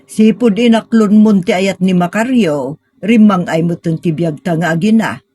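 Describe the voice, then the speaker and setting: A woman's voice: medium pitch, normal speed, dark tone, slightly rough, no room sound, studio quality, lightly acted. A woman playing a middle-aged, money-minded mother in an audio drama, coaxing and insisting with her grown daughter. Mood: insistent, pleased. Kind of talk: sentimental audio drama acting.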